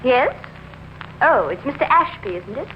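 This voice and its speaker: Scheming wife, high and very animated, theatrically hesitant, vintage 1950s radio drama. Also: female voice, a little slow. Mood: evasive, polite. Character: scheming, evasive murderess wife, feigning innocence. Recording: dull, noisy old radio recording.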